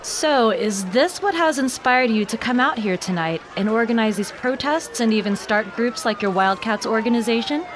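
A woman's voice: a little high, expressive, normal speed, hardly any pauses, a little hiss or hum, lightly acted. A woman playing an eager, polite TV news reporter, giving urgent, articulate live reporting in an audio drama. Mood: probing.

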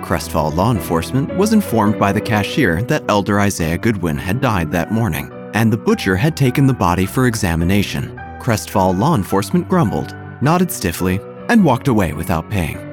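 A man with a fairly low voice tells a scripted comic horror tale. His delivery is wry and theatrical, with animated intonation, and music plays clearly behind him. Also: moderate pace, few pauses. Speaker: narrator.

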